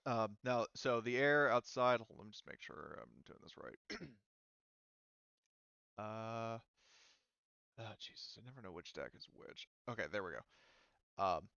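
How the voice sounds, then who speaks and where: A man acting as game master for an online tabletop roleplaying session, talking haltingly and often muttering to himself as he sets things up. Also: mid-range voice, a bit bright, smooth, no echo, muffled recording.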